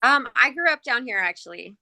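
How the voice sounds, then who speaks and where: Bright-voiced California woman singer. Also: a little high, lively intonation, brisk, vocal fry. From Southern California. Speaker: lead singer. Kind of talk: casual interview.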